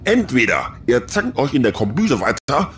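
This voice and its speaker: A man playing a grumpy, short-tempered alien hacker in an audio drama, with a creaky, grumbling voice. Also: mid-range voice, very animated, normal speed, slight background noise, lightly acted. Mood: irritated. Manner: irritable, grumbling.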